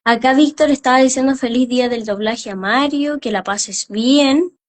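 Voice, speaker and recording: A woman's voice: sits high, moderate pace. A woman hosting a live-stream interview, asking questions in a chatty way with many "eh" and "ujú" fillers.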